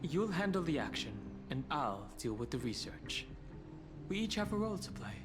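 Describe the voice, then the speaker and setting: A calm, reserved young scholar. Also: male voice, fairly high pitch, moderate pace. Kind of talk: video game voice acting. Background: game music.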